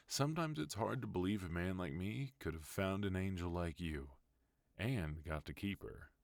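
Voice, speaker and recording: A strikingly deep, unhurried voice of a mafia boss husband, as a man acts him out, guarded yet tender toward his partner. He talks softly and intimately in an ASMR roleplay, close and dry with no room around him. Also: no room sound, exaggerated voice. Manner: soft, intimate, speaking to an unheard listener.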